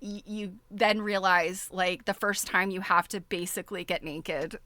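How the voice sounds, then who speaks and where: Bright-voiced woman chatting on a livestream. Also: medium pitch, measured pace, no echo. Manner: chatty, giggly, expressive. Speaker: livestream host. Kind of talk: casual livestream chat to viewers.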